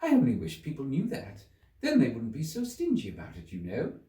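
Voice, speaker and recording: A man's voice: mid-range voice, huge pitch swings, a little fast, warm tone, pitched above the performer's own voice. A man voicing a polite, curious, literal-minded young girl in a storybook reading, speaking earnestly. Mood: pleased, musing. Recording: roomy, echoey, distant from the mic.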